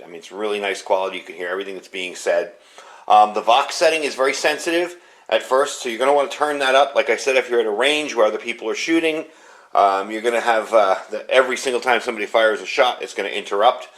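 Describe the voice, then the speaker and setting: A man's voice: fairly low pitch, a bit bright. Casual man reviewing gear. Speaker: product reviewer. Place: indoors at home.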